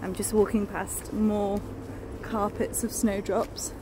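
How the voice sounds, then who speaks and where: A woman's voice: medium pitch, flat delivery, slow. Chatty woman filming a nature walk. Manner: enthusiastic, chatty, many fillers. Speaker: nature vlogger. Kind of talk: casual walking vlog. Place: outdoors in woodland and on a beach. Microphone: phone held at arm's length. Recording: outdoor phone recording with noise. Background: distant planes and outdoor ambience.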